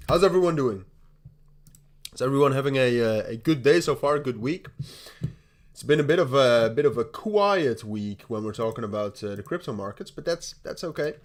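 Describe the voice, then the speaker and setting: A man's voice: mid-range voice, expressive, normal speed, long pauses. A young man with a Dutch accent hosting a casual crypto livestream, rambling with frequent laughs, repeated starts and the odd swear. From Netherlands. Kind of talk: casual livestream chat while trading.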